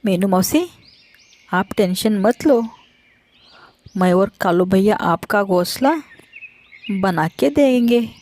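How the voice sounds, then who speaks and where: A woman voicing a kind, helpful female sparrow with a gentle, earnest manner in a children's cartoon. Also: mid-range voice, takes it slowly, long pauses, lightly acted.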